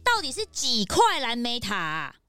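A woman's voice: on the high side, huge pitch swings, normal speed, bright, forceful tone, soft background music, full character voice. A woman voicing a perky, curious young male peanut sidekick with a Taiwanese Mandarin accent, wide-eyed and questioning, in a children's audio drama. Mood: questioning, insistent.

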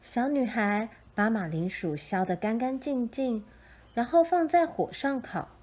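A woman narrating a children's story from a script in a gentle, expressive storytelling manner. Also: a little high, measured pace.

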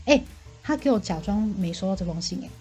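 A woman with a Taiwanese accent venting about work in casual chat, animated and indignant, mixing in English phrases. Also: mid-range voice, quick pace, soft background music.

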